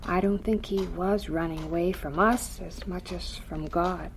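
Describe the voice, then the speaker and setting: A woman playing a gentle, devout mother in a radio drama, speaking in a tender, soothing, earnest way. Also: on the low side, fairly even intonation, unhurried, a little hiss or hum.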